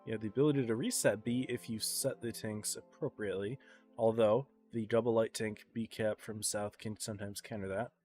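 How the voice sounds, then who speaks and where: A man casting an esports match on a live stream, congested and tired, calling the play in a relaxed, subdued way. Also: lowish voice, fairly quick, soft background music. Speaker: esports caster.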